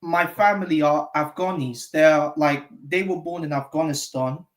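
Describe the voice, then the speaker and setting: A man's voice: a little high, moderate pace. A man from England calling in to a live show, talking hesitantly with many fillers. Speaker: caller. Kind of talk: casual chat. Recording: echoey room.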